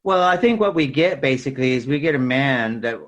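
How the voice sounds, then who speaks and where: A man's voice: medium pitch, lively intonation, fairly quick, lo-fi sound, crowd noise. A man co-hosting a casual film discussion, explaining things in a rambling, thinking-aloud way. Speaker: podcast co-host.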